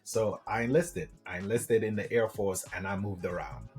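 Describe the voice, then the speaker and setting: Animated man, low voice. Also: normal speed, faint music behind. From Trinidad and Tobago. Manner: animated, friendly, peppers speech with "man". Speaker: guest, government contracting coach. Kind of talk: casual interview storytelling.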